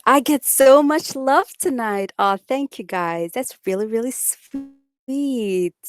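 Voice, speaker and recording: A woman's voice: on the high side, slow pace, smooth, no room sound, soft background music. A young woman with a Dutch accent, chatting bluntly with viewers on a live stream and reading out their comments. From Netherlands. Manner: blunt, outspoken, says it like it is.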